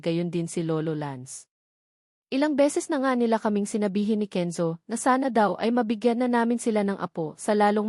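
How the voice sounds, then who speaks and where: A woman's voice: medium pitch, darkish tone, no echo. Filipino woman narrating a novel, dry close sound. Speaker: audiobook narrator. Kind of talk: scripted first-person romance novel narration.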